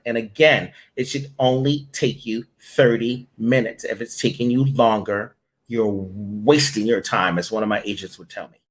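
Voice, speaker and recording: A man, a voiceover director and coach, giving blunt tough-love advice as an interview guest. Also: fairly low pitch.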